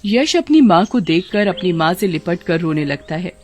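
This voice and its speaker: A woman's voice: lowish voice, very animated, normal speed, darkish tone. A woman giving scripted narration for a children's cartoon story.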